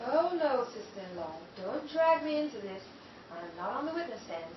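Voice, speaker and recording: An actress playing a haughty, sardonic sister-in-law who speaks with cool superiority in a 1930s-style radio drama. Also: female voice, fairly high pitch, sing-song, moderate pace, reverberant, recorded from a distance, lo-fi sound, slight background noise.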